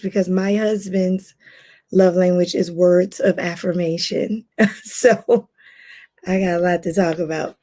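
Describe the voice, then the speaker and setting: Woman, hoarse, life coach, casual livestream host, chatty, greets viewers. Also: mid-range voice, darkish tone.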